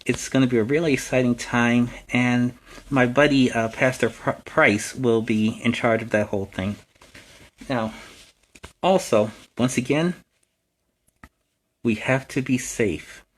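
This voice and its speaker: A man's voice: medium pitch, a little slow, pauses often. An American man hosting a story time for young viewers as a friendly superhero, speaking in an earnest, instructive way with simple phrasing. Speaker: storytime show host in character as a superhero.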